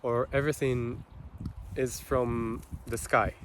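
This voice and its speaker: Male vlogger, Israeli accent, Hebrew native; unhurried, halting, reflective delivery with long gaps, speaking off the cuff over noticeable background noise. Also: mid-range voice, flat delivery. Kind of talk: unscripted personal monologue.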